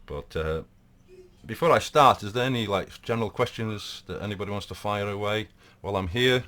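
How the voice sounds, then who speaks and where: Portuguese-accented male host, deep voice, loose and rambling with lots of restarts. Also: fairly quick, fairly bright tone. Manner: rambling, many fillers and restarts. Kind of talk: casual live talk.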